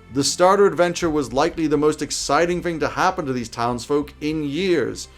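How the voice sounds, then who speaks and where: A man in his thirties presenting scripted game master advice to camera from a small home office. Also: medium pitch. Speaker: YouTube host giving game master tips.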